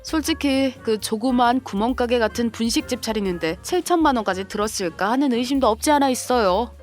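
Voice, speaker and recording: A woman's voice: a little high, a little fast, soft background music, natural voice. A woman playing a composed, sarcastic sister-in-law and protective mother in a scripted audio drama. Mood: accusing.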